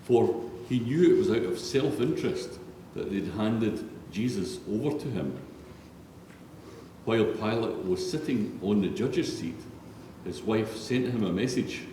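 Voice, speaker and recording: Low-voiced man reading scripture in echoing church. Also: unhurried, mellow. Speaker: scripture reader, narrator. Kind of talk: solemn scripted Bible reading. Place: large reverberant church, read aloud. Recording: echoey church hall, distant, faint noise.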